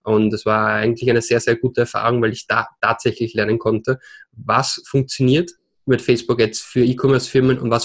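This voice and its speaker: A man in his twenties from Vienna with an Austrian accent, a podcast guest who talks with many hesitations and mixes in English terms. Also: medium pitch. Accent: Viennese Austrian German. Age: 20s. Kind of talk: podcast interview.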